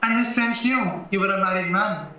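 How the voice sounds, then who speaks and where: A man acting a shrewd, probing defence lawyer in an audio play, formal and deliberate in his questioning. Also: high voice, bright, forceful tone. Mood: measured, shrewd. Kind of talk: stage-style courtroom audio drama acting. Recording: very echoey, distant, dull, music behind.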